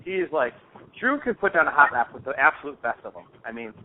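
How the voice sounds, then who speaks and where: Fast-talking man on a dull phone recording. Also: medium pitch, very animated, noisy background. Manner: informal, slangy, rambling. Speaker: host of a hobby radio-controlled racing call show. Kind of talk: casual phone chat, anecdotal storytelling. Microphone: phone call. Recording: dull lo-fi phone-call audio.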